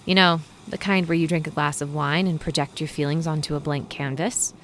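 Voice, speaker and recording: A woman's voice: sits low, steady tone, fairly quick, a bit bright, slight background noise. Woman reading as a wry young woman. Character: guarded, wry young woman in her twenties.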